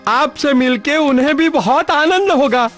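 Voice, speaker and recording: A man's voice: very high-pitched, measured pace, a bit bright. A man voicing a polite, eager village cowherd in a cartoon mythological drama, deferential and obliging. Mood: eager, respectful. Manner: deferential, introducing people with flourish. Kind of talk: animated mythological drama dub. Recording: background music under dialogue.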